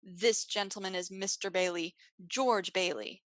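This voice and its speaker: A woman's voice: mid-range voice, fairly even intonation, unhurried, dry recording, clean studio sound, natural voice. A woman playing an anxious, protective and loyal bar keeper in an old-time radio play. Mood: concerned.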